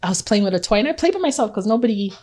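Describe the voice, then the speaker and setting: A woman whose first language is Twi, originally from Ghana, telling lively personal stories as a podcast guest and laughing often. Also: medium pitch, rapid-fire, over a noisy crowd. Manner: animated, laughs often, acts out dialogue. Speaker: guest entrepreneur. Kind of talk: storytelling podcast interview.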